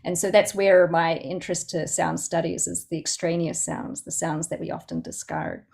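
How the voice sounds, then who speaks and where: Kiwi woman w southland accent, lowish voice, poet doing q&a, kinda halting and thoughtful, feels for words. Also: steady tone, gravelly, darkish tone. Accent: Southland New Zealand. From New Zealand. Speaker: poet and guest speaker. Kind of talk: informal question-and-answer talk at a poetry event.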